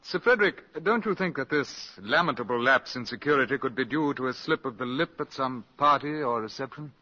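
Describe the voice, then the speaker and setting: Bright-voiced, shrewd radio-play secret agent. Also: male voice, medium pitch, lively intonation, a little fast. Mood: probing, suave. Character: shrewd, courteous male British counter-espionage agent. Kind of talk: 1950s radio drama acting. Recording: muffled, reverberant 1950s radio broadcast.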